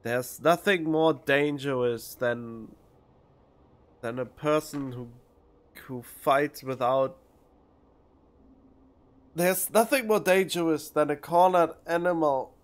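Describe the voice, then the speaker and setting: A man giving casual let's-play commentary as a game streamer. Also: a little high. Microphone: close studio condenser microphone.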